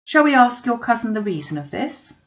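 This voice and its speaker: A woman reading a storybook as a witty young gentlewoman, playful and teasing, with an arch, mock-serious manner. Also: a little high, sing-song, brisk, lo-fi sound, voice pushed up.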